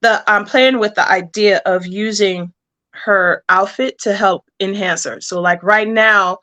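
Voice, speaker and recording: A woman's voice: mid-range voice, fairly even intonation, unhurried, sharp tone, highs cut off. A woman speaking casually as a creator being interviewed about their work.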